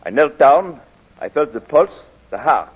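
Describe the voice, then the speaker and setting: An actor playing a grave middle-aged country doctor, formal and deliberate in an old radio drama. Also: male voice, a little high, very animated, breathy edge, exaggerated voice. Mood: solemn, dramatic. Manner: deliberate, formal, theatrical phrasing with dramatic pauses. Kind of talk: 1940s radio drama acting. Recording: dull 1940s radio broadcast recording.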